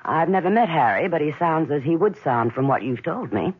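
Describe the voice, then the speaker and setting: Poised secretary, animated and coy, tinny vintage radio. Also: female voice, low voice, brisk, noisy background. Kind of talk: 1970s radio drama acting.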